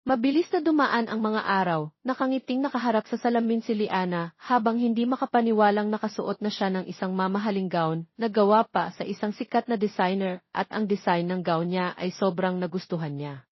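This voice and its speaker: A woman narrates a scripted drama. Her pitch sits in the middle range for a woman. The sound is very dry and somewhat dull, with little top end. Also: fairly quick, no room sound. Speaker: audio story narrator.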